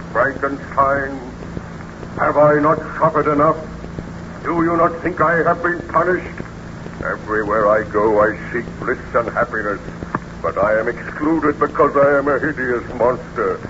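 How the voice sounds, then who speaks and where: A man's voice: medium pitch, lightly acted. Male actor as wretched monster, 1930s radio melodrama, declamatory, bitter, pleading, menacing, muffled noisy recording. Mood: aggrieved, pleading. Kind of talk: 1930s radio serial acting.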